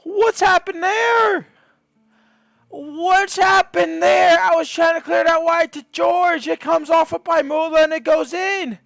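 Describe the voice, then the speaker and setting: A man giving excitable live commentary over his own video game match, his voice going hoarse. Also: very high-pitched, dry recording. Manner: excitable, bursts into shouts at big moments. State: voice getting hoarse. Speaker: gaming video host.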